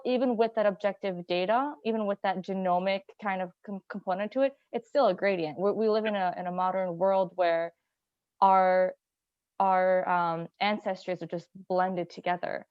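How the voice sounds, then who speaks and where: A woman from Iran joins a journal club discussion over a video call. She thinks out loud and circles her point with lots of fillers. The audio is dry and a little dull. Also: mid-range voice, fairly even intonation, moderate pace, clear, resonant tone, no echo. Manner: rambling, filler-heavy, thinking aloud.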